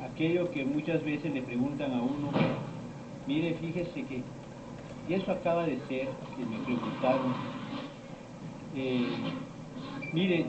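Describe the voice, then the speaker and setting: Middle-aged male lecturer, slow and meandering, quoting conversations, recorded from afar on a dull, noisy amateur recording. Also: on the high side. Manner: slow, rambling storytelling with many pauses and quoted dialogue. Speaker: teacher of esoteric topics. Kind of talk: informal lecture to students. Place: lecture room. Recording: echoey room, distant mic, dull lo-fi sound, noisy.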